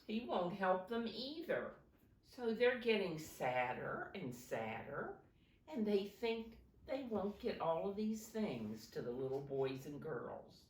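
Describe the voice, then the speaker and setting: A woman's voice: medium pitch, sing-song, slow. A woman reading a storybook aloud expressively to young children, with simple explanatory asides. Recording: roomy, recorded from a distance.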